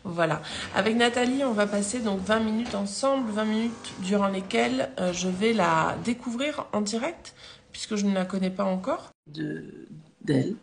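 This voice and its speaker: A woman hosting a live interview, nasal and hoarse from a cold, welcoming her guest with many fillers. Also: mid-range voice, lively intonation, moderate pace, a little hiss or hum. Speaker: host, life coach.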